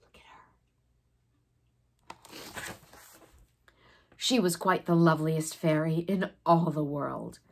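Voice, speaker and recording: A woman reading a children's story expressively, with dramatic pauses and a breathy, sometimes hushed delivery. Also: deep voice. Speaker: storybook reader.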